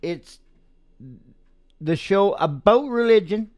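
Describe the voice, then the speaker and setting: A man's voice: sits high, animated, slow pace, darkish tone. A man hosting a radio show in a casual, rambling comic monologue, with a folksy, theatrical way of talking. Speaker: radio show host and singer.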